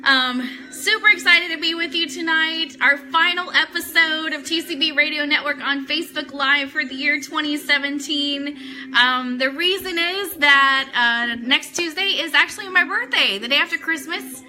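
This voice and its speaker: Bright, high-voiced woman hosting a livestream. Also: moderate pace. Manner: chatty, enthusiastic, effusive. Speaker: host of an Elvis fan talk show. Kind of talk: casual livestream chat, greeting viewers. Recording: music playing in the background. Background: Christmas music.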